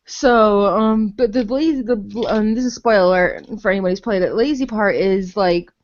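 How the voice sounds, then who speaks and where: A woman's voice: mid-range voice, a little mellow, muffled recording. A woman chatting casually and bluntly as a podcast reviewer, rambling, with plenty of "like" and some swearing. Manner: rambling, heavy use of "like"; blunt, profane. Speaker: podcast host reviewing games. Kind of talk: casual unscripted game review chat.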